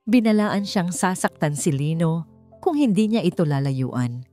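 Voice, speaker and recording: A woman's voice: lowish voice, very animated, unhurried, mellow. A woman reading a scripted love story as a dramatic narrator. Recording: music behind the voice. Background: background music.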